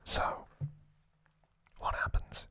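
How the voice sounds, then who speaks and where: A man hosting ASMR, recapping a story in a breathy, unvoiced whisper with a gentle, halting delivery. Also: dry recording. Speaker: ASMR host.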